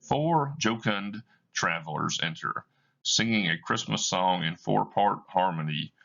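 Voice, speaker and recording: Slow, measured man reading directions. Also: deep voice, lively intonation, vocal fry, fairly bright tone, muffled recording, slight background noise. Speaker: teacher reading a play aloud. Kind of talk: reading stage directions aloud.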